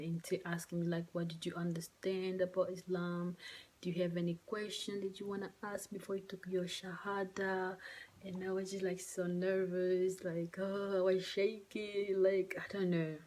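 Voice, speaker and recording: A young woman who speaks English as a second language, telling a personal story to camera in a halting, soft, emotional way with many fillers. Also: fairly low pitch. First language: not English. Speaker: vlogger. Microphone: phone or camera mic.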